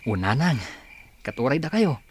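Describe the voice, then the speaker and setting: A man's voice: mid-range voice, sing-song, moderate pace, natural voice. A man playing a dutiful, hardworking son in an Ilocano audio drama. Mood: respectful, reassuring. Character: dutiful, respectful hardworking adult son and masseur.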